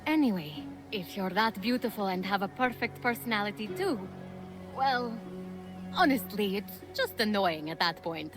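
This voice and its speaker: An actress playing an earnest, encouraging young storyteller heroine in a video game. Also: female voice, mid-range voice, lively intonation, moderate pace, music in the background, lightly acted. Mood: supportive, teasing. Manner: earnest, frank.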